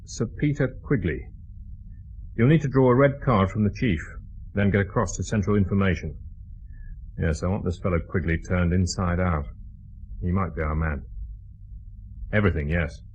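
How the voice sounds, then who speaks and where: A British actor playing a brusque, dogged intelligence officer in a radio drama, clipped, commanding and wearily exasperated. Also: male voice, low-pitched, normal speed, pauses often, darkish tone, muffled recording, a little hiss or hum, close to an everyday voice. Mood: businesslike.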